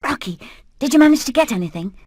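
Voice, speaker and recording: Game casting: female hen, fairly high pitch, purposeful escape leader, earnest and resolute. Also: expressive, quick pace, darkish tone, no echo, studio quality. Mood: eager. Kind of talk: animated video game acting.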